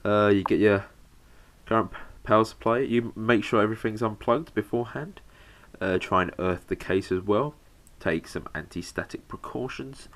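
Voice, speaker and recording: Lowish man with a cold. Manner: halting, many fillers, talks while working. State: has a cold, slightly bunged up. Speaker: PC hobbyist showing a hardware install. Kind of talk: casual how-to narration.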